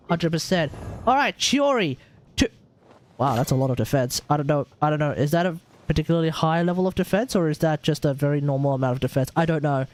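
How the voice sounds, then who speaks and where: A high-pitched man chats casually as a game streamer, thinking aloud in blunt, slangy commentary. Faint music plays behind his voice.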